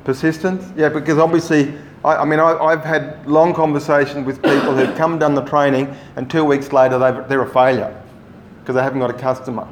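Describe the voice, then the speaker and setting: A man running a training workshop, giving relaxed, rambling instructions to the group. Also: a little high, a little fast. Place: meeting room. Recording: echoey room, faint noise.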